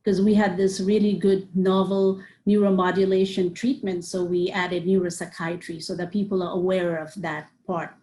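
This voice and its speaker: A woman's voice: fairly low pitch, little pitch movement, normal speed, hardly any pauses, mellow, slight background noise, poor audio. A woman, a psychiatrist answering interview questions earnestly and listing points with many "you know"s. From Nepal. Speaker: psychiatrist guest.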